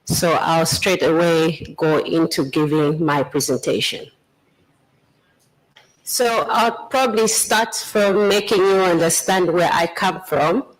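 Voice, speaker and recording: A presenter from Zambia with a Zambian accent, giving a formal slide presentation in a measured, explanatory way. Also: mid-range voice, low-quality recording. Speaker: government trade official and presenter.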